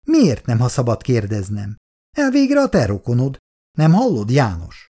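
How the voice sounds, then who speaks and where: A man's voice: medium pitch, wildly swooping pitch, dark tone. A man voicing a worried, reproachful elderly wife who keeps nagging her sleepy husband.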